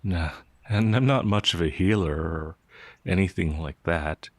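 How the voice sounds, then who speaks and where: Casting: male actor for a shy, innocent young werewolf in fantasy ASMR roleplay; low register, halting delivery, very dry close recording. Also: no echo. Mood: shy, gentle. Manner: hesitant, halting, stammering, easily flustered. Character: gentle, timid, naive young male werewolf peasant.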